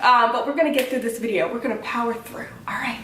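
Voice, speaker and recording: A woman's voice: on the high side, quick pace, fairly bright tone, a little hiss or hum. A woman with a stuffy, congested cold, chatting casually and upbeat as she reviews a wig for her vlog, with lots of "like" and "um". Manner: upbeat and apologetic, filler-heavy with lots of "like" and "um". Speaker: beauty vlogger reviewing a wig. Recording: roomy echo, voice from a distance.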